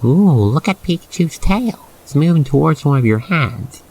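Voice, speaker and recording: A man with a creaky voice reading a scripted second-person ASMR roleplay story, with gentle exclamations. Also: on the high side, lively intonation, normal speed. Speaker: roleplay narrator.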